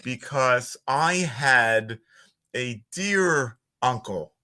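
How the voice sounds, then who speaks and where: A man with a Philadelphia accent, a voiceover artist talking as a guest on a podcast in a measured, articulate way. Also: mid-range voice, lively intonation, sharp tone. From Northeast Philadelphia. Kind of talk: conversational podcast interview. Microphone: audio-only remote podcast call.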